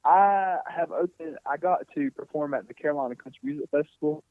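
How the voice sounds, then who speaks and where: A man in his twenties, a singer being interviewed, talking casually and softly with many fillers and restarts. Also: fairly high pitch, a little fast. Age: 20s. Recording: tinny phone-like sound, dry.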